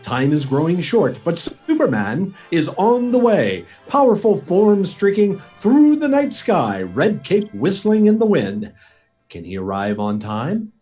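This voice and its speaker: A man's voice: high-pitched, wildly swooping pitch, unhurried, mellow, soft background music, exaggerated voice. A man playing a dramatic old radio serial announcer, narrating with melodramatic suspense. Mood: ominous, dramatic.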